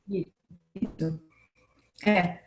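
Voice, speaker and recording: A woman's voice: fairly low pitch, steady tone, drawn-out words. A woman in an online meeting who speaks in a friendly, brief way. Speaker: participant.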